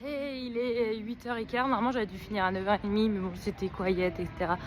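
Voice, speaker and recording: A woman's voice: medium pitch, level tone, fast talker, a little hiss or hum. A young woman with a cold, chatting casually into her phone for a vlog, rambling and slangy with many fillers. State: sick with a blocked nose and sore throat. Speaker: vlogger. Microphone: phone held at arm's length.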